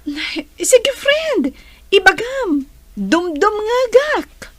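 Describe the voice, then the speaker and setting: Excitable best friend, very high voice. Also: female voice, drawn-out words, no room sound, full character voice. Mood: coaxing. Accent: Filipino. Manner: theatrical, exclamatory. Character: excitable, nosy female best friend, dramatic and supportive. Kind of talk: Ilocano radio drama acting.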